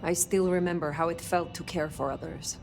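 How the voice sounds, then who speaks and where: Actress as elder female vampire, formal, reserved, measured, slightly low pitch, video game, light music. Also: fairly even intonation, a little mellow, lightly acted. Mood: composed.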